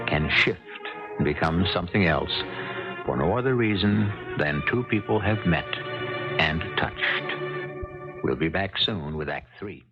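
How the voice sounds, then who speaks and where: A man hosting and narrating a 1970s radio drama in measured, portentous, dramatic phrases. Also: low-pitched, vocal fry. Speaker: host and narrator. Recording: dull, lo-fi 1970s radio recording. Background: music.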